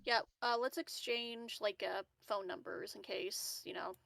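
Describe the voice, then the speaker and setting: A woman's voice: mid-range voice, fairly even intonation, measured pace, vocal fry, fairly bright tone, no room sound. A woman playing a tabletop roleplaying game, chatting and improvising informally as she thinks aloud. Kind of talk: casual chat and improvised roleplay.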